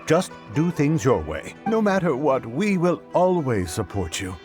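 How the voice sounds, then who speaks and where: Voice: medium pitch, big pitch swings, a little slow. An actor playing an outspoken, supportive young theater performer in a video game, brash and emphatic. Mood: supportive, confident. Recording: music behind the voice.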